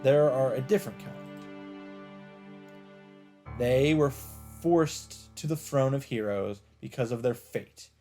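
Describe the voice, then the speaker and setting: A man reading a visual novel script aloud in an expressive narrating style. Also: mid-range voice. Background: game music.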